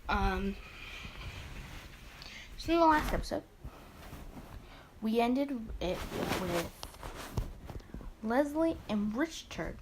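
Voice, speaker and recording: A woman's voice: a little high, sing-song, drawn-out words, long pauses, background noise. A woman hosting a casual let's play, rambling and talking to herself in asides as she goes. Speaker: host of a gaming let's play series. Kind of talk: casual let's play, chatting and reading visual novel dialogue aloud.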